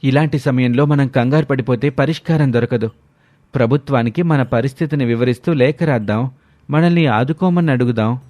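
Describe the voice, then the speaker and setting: A man's voice: medium pitch, a little fast, darkish tone, no echo, natural voice. An actor playing a clever, level-headed villager who rallies his neighbours in a cartoon moral story. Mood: calm, resolute.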